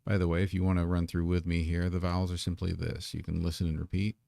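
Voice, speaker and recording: A low-voiced man with a gravelly edge, a native English speaker teaching Korean in a live stream. He talks casually and modestly and often repeats a word while he gets set up. His voice sounds dry and close. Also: monotone, fast, warm tone, no echo. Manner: casual, self-deprecating, repeats words while setting up. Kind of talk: live-streamed language lesson.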